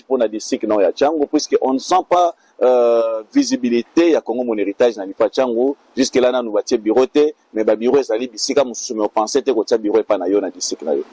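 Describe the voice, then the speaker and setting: A man's voice: mid-range voice, fast, few pauses, warm tone, dry recording. A man interviewing a guest, mixing short acknowledgements with long probing questions.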